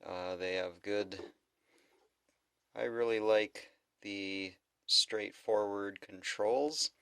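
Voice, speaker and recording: Low-voiced man with a creaky edge. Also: very slow, fairly bright tone, no room sound, studio quality. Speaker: product reviewer. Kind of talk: unscripted product review talk.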